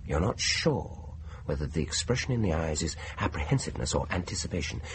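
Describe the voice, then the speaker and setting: An actor in a radio play as a smooth, manipulative male dentist, coaxing with deliberate, theatrical persuasion. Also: extremely low pitch, animated, a little hiss or hum, low-quality recording. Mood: hushed, insinuating.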